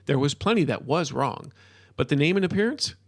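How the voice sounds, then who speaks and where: A man hosting a fiction podcast, reading his own scripted story with deliberate emphasis on key words. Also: fairly high pitch, expressive, no echo, clean studio sound.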